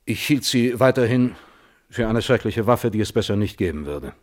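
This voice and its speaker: A man acting as a thoughtful, scrupulous scientist in a German radio play, testifying in a measured, carefully worded way. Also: on the low side, lively intonation, natural voice. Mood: guarded, composed. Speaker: witness under questioning.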